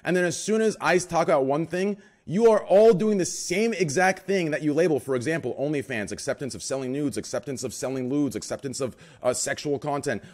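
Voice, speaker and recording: Deep-voiced young male host, insistent and worked up, very animated pitch. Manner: passionate, insistent, repeats "you understand?". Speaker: podcast host. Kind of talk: heated casual debate.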